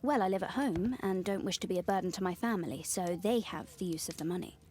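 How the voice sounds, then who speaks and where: A woman's voice: on the low side, fast talker, a little mellow, close to an everyday voice. An actress playing an earnest young Victorian lady in a video game, with a British accent and polite, formal speech. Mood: earnest, discreet. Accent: British English. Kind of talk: video game dialogue acting.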